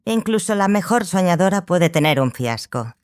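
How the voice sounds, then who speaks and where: A woman playing a self-assured sorceress mentor in an audiobook, speaking in a measured, formal, dryly ironic way. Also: lowish voice, very animated, a little mellow. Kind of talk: dramatized audiobook acting.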